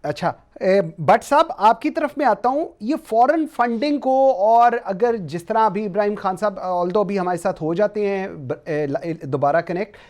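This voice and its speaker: A man's voice: sits high, a little mellow. A man with a Pakistani accent moderating a political TV debate as host, dropping English phrases into his questions. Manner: code-switches between Urdu and English. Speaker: talk show host.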